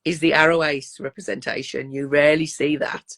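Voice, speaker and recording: A woman's voice: sits low, steady tone, fairly quick, a bit bright. A woman hosting a casual interview, affectionate and calling her guest darling. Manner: affectionate, calls people darling and love. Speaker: interview host.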